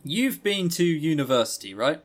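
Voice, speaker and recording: A man's voice: on the high side, sing-song, moderate pace. A man co-hosting a podcast in casual chat, with a wry, joking, teasing way of talking. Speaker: podcast co-host. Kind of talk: casual chat reviewing visual novels.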